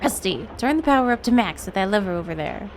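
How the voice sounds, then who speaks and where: A woman's voice: mid-range voice, animated, fast talker, slight background noise, pitched below the performer's own voice, close to an everyday voice. A woman voicing a cocky young male video game hero who gives urgent, commanding orders.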